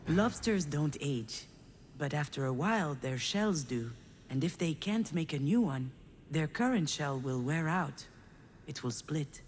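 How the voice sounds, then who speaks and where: An actress playing a failed screenwriter, a cynical, melancholic loner, in a measured, confiding, wry game monologue. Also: female voice, low voice, animated, a little mellow, a little hiss or hum. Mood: grim, detached. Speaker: narrator protagonist of a visual novel. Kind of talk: introspective scripted monologue.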